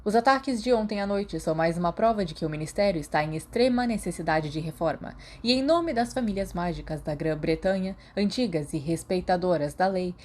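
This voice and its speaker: A woman's voice: on the low side, mellow, a little hiss or hum, lightly acted. Lady reading a pompous old rich wizard, stiff formal statement, brazilian accent. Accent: Brazilian Portuguese. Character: haughty aristocratic older male wizard politician.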